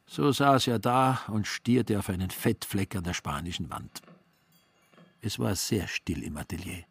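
A man narrating a literary radio play with dry irony in a slightly husky voice. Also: on the low side, a little slow, dry recording. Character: dry, ironic male storyteller of a literary tale.

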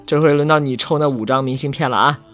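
A man's voice: mid-range voice, steady tone, quick pace, fairly bright tone. A man co-hosting a livestream in a playful, bantering, giggly casual chat. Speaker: voice actor co-hosting a fan livestream. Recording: background music.

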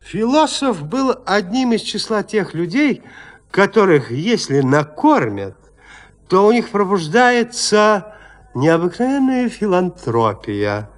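A man narrating a classic horror tale in an audio play, with theatrical, expressive delivery. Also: high voice, slow pace.

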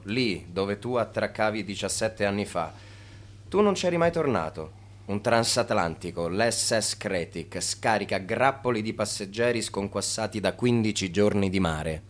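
An actor narrating a radio drama as a chronicler, in clipped, declarative phrases. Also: male voice, on the low side, animated, normal speed, close to an everyday voice. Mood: factual.

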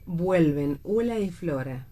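A woman's voice: on the low side, lively intonation, takes it slowly, warm tone. A woman reading stage directions as the narrator of a scripted radio play.

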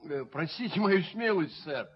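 An actor playing a fussy, snobbish, over-polite gentleman in a radio play, speaking with pompous, flowery courtesy. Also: fairly high pitch, lively intonation, a little slow. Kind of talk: theatrical radio drama acting. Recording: dull, noisy 1970s radio recording.

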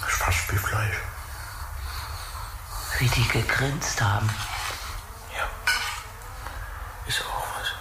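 An actor playing a starved, bitter, crude captive in a German radio drama, muttering in broken phrases, often half-whispered. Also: male voice, fairly low pitch, slow pace, long pauses. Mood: disgusted, muttering. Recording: roomy echo, loud noise and clicks.

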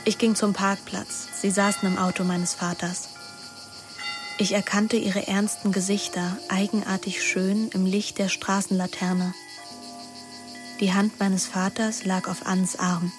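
An actress playing a young daughter who narrates a literary radio drama, observant and defiant. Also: female voice, medium pitch, flat delivery, a little slow, music in the background, lightly acted. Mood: reflective.

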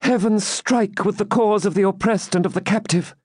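A man's voice: sits high, lively intonation, moderate pace, a little mellow, no echo, clean studio sound, voice pushed up. A man voicing a fervent, courageous young maiden with breathless, exclamatory, archaic storybook speech. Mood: horrified.

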